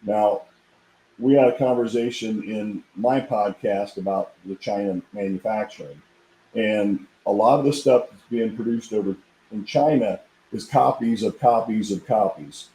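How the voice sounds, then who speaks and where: A hoarse middle-aged man telling stories in a rambling, casual podcast chat. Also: lowish voice, a little slow, dark tone, reverberant, a little hiss or hum, poor audio. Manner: rambling, storytelling. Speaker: podcast guest, equipment designer.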